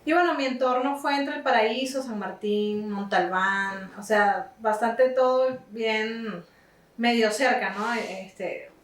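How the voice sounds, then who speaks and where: A Venezuelan woman in her thirties, a music teacher telling her story in an unhurried way, with frequent "este" fillers. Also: mid-range voice. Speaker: guest, violist and music teacher. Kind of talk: relaxed conversational storytelling. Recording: roomy echo, distant mic, faint music.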